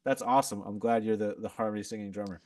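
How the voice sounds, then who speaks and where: Podcast guest: man, lowish voice, loose rambling chat, dry sound a bit off-mic. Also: fast talker, dry recording, distant mic. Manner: relaxed, rambling, lots of "like" and restarts. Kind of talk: casual chat.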